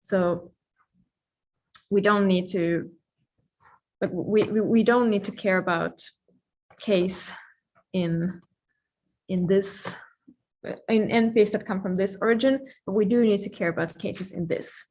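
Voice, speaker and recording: A woman who is a native Finnish speaker gives an informal live-coding lecture, thinking aloud with playful asides and pauses mid-thought. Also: mid-range voice, darkish tone. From Finland.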